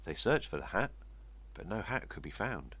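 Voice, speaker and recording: An older man with a gravelly, husky voice reading a rhyming children's story expressively. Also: low-pitched, big pitch swings, moderate pace, a bit bright. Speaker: story reader. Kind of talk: expressive read-aloud children's storytelling in rhyme.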